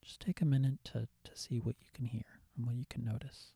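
A soft-spoken man leading a guided meditation with gentle, soothing, calm instructions. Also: mid-range voice, brisk, gravelly, slightly rough, no echo, studio quality. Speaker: meditation guide, podcast host.

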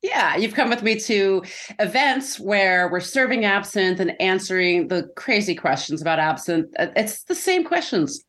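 A woman's voice: medium pitch, big pitch swings, moderate pace, a bit bright. A middle-aged woman co-hosting a podcast in a casual, conversational way. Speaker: podcast co-host.

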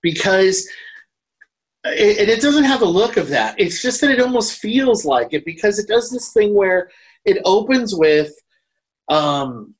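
Man chatting casually, halting and self-correcting. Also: sits high, lively intonation. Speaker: podcast co-host reviewing horror films. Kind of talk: casual film discussion.

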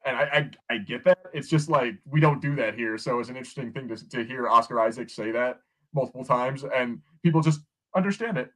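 Fast-talking American man. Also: mid-range voice, poor audio. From United States. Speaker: podcast co-host. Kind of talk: casual film and TV review chat.

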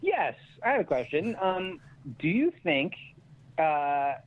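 A man speaking as a guest writer in a casual discussion, halting and questioning himself as he goes. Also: on the high side, very animated, a little hiss or hum. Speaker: comedy scriptwriter, guest. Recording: slightly dull, muffled sound.

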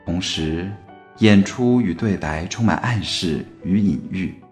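A man's voice: low voice, measured pace, a little mellow, soft background music. A man narrating a scripted story for an amateur radio play.

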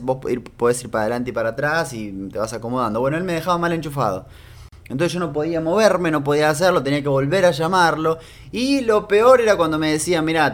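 A man with an Argentine accent tells a personal story straight to his listeners. His delivery is casual and animated, with frequent restarts and a playful sense of humor. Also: mid-range voice. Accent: Argentine Spanish.

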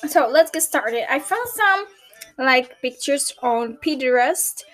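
Young greek girl doing a casual podcast, highish voice, kinda rambly and hesitant, chill music behind her. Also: animated, takes it slowly, a bit bright. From Greece. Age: early 20s. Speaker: podcast host. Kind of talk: casual solo podcast chat. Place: bedroom at home. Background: chill R&B background music.

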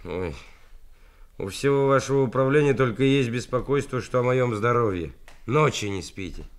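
An actor playing a defiant, sardonic thief in police custody, curt and colloquial, in a radio drama. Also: male voice, medium pitch, expressive, normal speed, lightly acted. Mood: defiant, sarcastic.

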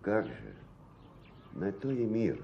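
An actor playing an agreeable Russian village peasant who echoes the others in a radio play. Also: male voice, fairly low pitch, very animated, slow pace. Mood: assenting. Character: agreeable peasant man echoing the village crowd. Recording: dull, noisy old radio play recording.

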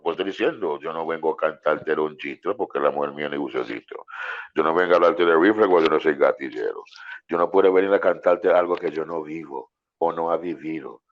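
A man's voice: extremely low pitch, fairly quick. A man, a guest singer in a casual talk, repeating phrases for emphasis. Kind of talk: casual chat. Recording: dull lo-fi sound.

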